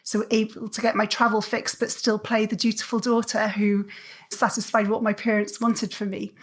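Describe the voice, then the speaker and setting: A woman's voice: mid-range voice, little pitch movement, moderate pace. A woman from South Wales with a Welsh accent, a podcast guest thoughtfully telling her life story. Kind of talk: reflective interview storytelling.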